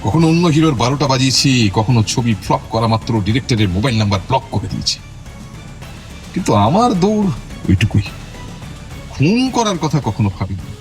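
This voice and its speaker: A proud ageing-idol type of film star played by a male actor in an audio drama. He speaks in a measured, self-assured way with clipped irritation held in check. Music plays under the voice. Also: medium pitch, big pitch swings, close to an everyday voice. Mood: reflective. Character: proud veteran film star, a controlled, irritated murder suspect. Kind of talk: Bengali audio drama acting.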